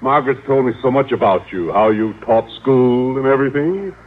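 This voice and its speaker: An actor playing a courtly, earnest and easily flustered butcher, speaking in a genteel, formal, hesitant manner in vintage radio sitcom style. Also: male voice, mid-range voice, expressive, a little fast, lightly acted. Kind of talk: 1950s radio sitcom acting. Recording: tinny, hissy old radio.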